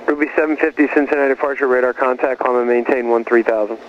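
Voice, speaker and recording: A man's voice: mid-range voice, fast. A man working as an air traffic controller, giving businesslike routine instructions over aviation radio. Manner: monotone, businesslike. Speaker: departure and approach controller. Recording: dull radio transmission with faint static.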